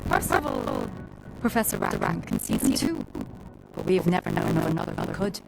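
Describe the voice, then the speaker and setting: With music behind her, a stately headmistress witch from a fantasy game. Her low voice is heavily creaky, and she speaks with formal, careful authority. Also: lively intonation, normal speed, low-quality recording. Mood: informative. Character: stately, cautious headmistress witch of a magic school. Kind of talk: video game cutscene acting.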